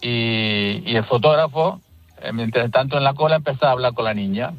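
A man's voice: medium pitch, normal speed, dry recording, highs cut off, soft background music. A man calling into a radio phone-in to tell a story about his daughter. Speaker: caller.

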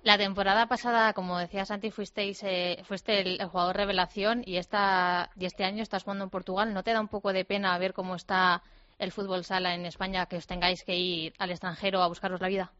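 A woman's voice: medium pitch, flat delivery, brisk, fairly bright tone, lo-fi sound. A Spanish woman who directs a sports radio show, asking questions in a hesitant way with fillers. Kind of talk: radio interview.

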